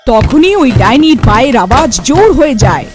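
Woman narrator, high pitch, animated intonation, scripted horror cartoon storytelling, prominent music. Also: moderate pace.

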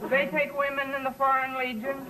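Wry, wisecracking schoolteacher. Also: female voice, sits high, monotone, brisk, bright, forceful tone. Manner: dry, sardonic. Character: wry, wisecracking woman high-school teacher. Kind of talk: 1950s sitcom acting. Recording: noisy vintage soundtrack, audience behind.

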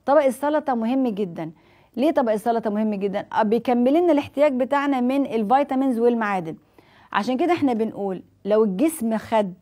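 Egyptian woman in her 40s, TV health doctor, rapid and mellow, poses her own questions, lapel mic. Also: fairly high pitch. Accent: Egyptian Arabic. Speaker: nutrition doctor hosting a TV health show. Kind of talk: explaining diet advice to viewers. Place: TV studio set, indoors.

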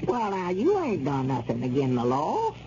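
Naive old southern hick, high voice, folksy hesitant griping, muffled old radio comedy. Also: male voice, huge pitch swings, normal speed, noisy background. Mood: worried, innocent. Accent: rural American South. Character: naive, complaining old country man, willing captive. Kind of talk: 1930s radio comedy acting.

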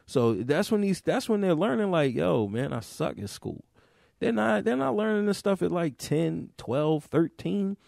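A man from Baltimore hosting his own podcast, talking casually in a loose monologue that repeats and restarts and climbs in pitch when he presses a point. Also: lively intonation, unhurried, creaky, darkish tone, dry recording, clean studio sound. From Baltimore, USA. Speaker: podcast host, teacher.

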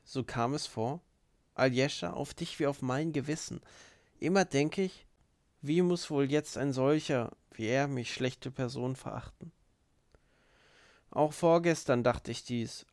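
A man's voice: medium pitch, a little mellow, no echo, clean studio sound, close to an everyday voice. A man voicing an impulsive, emotional young woman in an audiobook, speaking in an exclamatory, effusive way and repeating herself.

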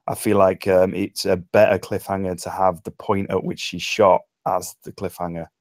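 A man's voice: low-pitched, little pitch movement, moderate pace, darkish tone, dry recording. An English man giving informal script feedback in hesitant phrases with dry humour. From England. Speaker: table read participant giving script feedback. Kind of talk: informal spoken critique. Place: remote online voice call.

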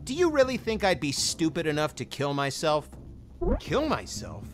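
An actor playing a loud, indignant military guard in exaggerated comic video game style. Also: male voice, fairly high pitch, very animated, moderate pace, faint music behind. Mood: mocking, indignant.

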